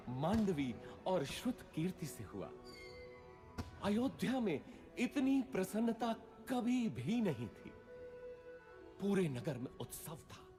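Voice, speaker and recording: A man narrating a mythological story in a dramatic, scripted storytelling style. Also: fairly high pitch.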